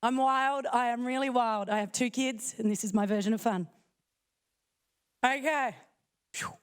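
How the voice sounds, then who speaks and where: A woman speaks at a conference with a croaky voice she is fighting to keep going. Her pitch sits fairly high and the tone stays smooth beneath the rasp. She talks in a chatty way, full of quick self-joking asides. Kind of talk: casual conference talk. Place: conference hall.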